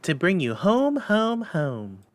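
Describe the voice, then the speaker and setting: A man voicing a loving, gleeful little girl with an excited, tender delivery in a storybook reading. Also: sits high, slow pace, voice pushed up, heavily acted. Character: eager, loving little girl, brimful of glee.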